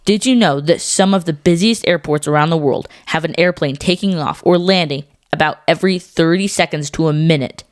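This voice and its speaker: A woman co-hosting a scripted educational podcast for children, explaining things clearly. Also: low voice, brisk, hardly any pauses, dry recording, clean studio sound. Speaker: podcast co-host.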